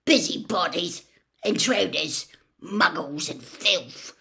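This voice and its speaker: A woman voicing a gruff, aggressive, suspicious old man in a storybook reading, loud and belligerent. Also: lowish voice, slow, full character voice.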